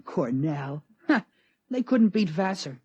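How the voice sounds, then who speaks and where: A woman playing a brash, teasing young boy in 1950s radio drama style, slangy and mocking, with a slightly husky voice. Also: fairly low pitch, very animated, takes it slowly, a little mellow, dry recording, dull audio, exaggerated voice. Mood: scoffing.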